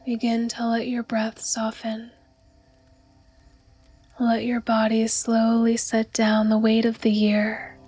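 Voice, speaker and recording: A woman guiding a yoga nidra meditation in a soft, breathy, soothing and unhurried voice. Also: fairly high pitch. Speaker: meditation guide.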